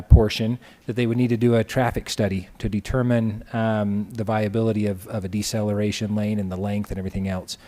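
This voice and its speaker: A man working as a city engineer explains access and traffic rules to a council. He talks haltingly, with frequent ums and uhs, in a flat monotone. The sound carries the long reverb of a council chamber. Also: mid-range voice. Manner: halting, many fillers. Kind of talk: technical explanation at a council meeting.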